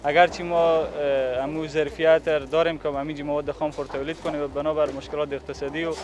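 A young man, slightly muffled by a mask, giving formal, halting interview answers as a factory representative into a handheld microphone. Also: on the high side, little pitch movement. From Afghanistan. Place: large indoor factory hall. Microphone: handheld reporter microphone held toward him.

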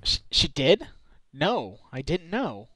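A man's voice: a little high, wildly swooping pitch, slow pace, fairly bright tone, no echo, exaggerated voice. A man voicing an earnest, determined defense lawyer in a fan-dubbed video game. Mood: curious. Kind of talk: fan dub of a visual-novel video game.

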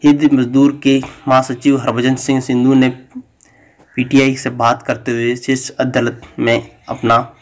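A man hosting a news channel, reading a bulletin aloud in a flat, halting way. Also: mid-range voice.

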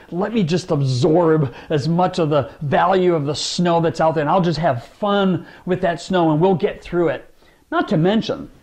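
A man in his forties talking to camera about gardening from a dining room at home, upbeat and animated, gesturing as he talks. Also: on the high side. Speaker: gardening vlogger. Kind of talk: casual talk to camera.

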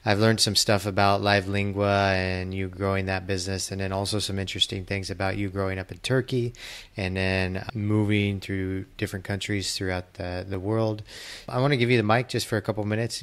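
A man's voice: low-pitched, clean tone. A man hosting a podcast, asking short questions in an upbeat, encouraging way. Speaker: podcast host. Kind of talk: interview host.

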